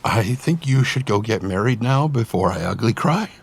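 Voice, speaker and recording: A man playing a tender, sentimental father at a wedding in a motion comic, speaking in a heartfelt, earnest way with a husky voice. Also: medium pitch, animated, moderate pace. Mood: affectionate, moved. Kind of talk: dramatic motion comic voice acting.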